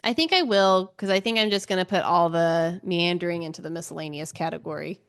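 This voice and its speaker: A woman's voice: lowish voice, a little fast, clear, resonant tone, no room sound. Casual woman co-host, close dry sound. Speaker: book podcast co-host. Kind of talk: casual chat.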